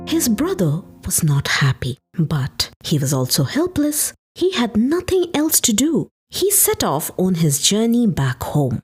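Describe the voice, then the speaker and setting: A woman narrating a folktale for a podcast, with expressive, dramatic and deliberate phrasing. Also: on the low side. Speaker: podcast storyteller. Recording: music behind the voice. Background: background music.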